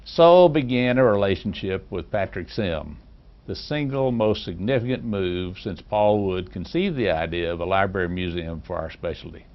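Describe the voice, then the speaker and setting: A man's voice: low-pitched, expressive, moderate pace, lo-fi sound. An older man interviewing his former employee, reading a prepared introduction and then asking questions with many fillers. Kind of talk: oral history interview.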